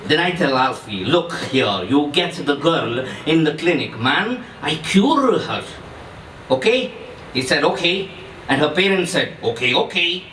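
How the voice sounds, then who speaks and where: A man's voice: on the high side, sing-song, unhurried, a bit bright, pitched below the performer's own voice. Man acting a wildly swooping, menacing ex-commando. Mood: emphatic, animated. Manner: emphatic, repetitive, broken grammar with questioning tags. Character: menacing, boastful Iranian ex-commando, volatile and emphatic. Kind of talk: dramatic character reading from a novel. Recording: distant echoey room, faint noise.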